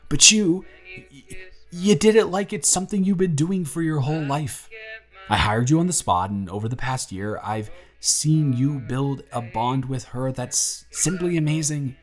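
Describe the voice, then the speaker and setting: A man playing an earnest young single dad in his twenties, talking softly and a little flustered in an audio roleplay. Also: medium pitch, sing-song, measured pace, faint music behind. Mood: tender. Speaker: father confessing feelings to the babysitter.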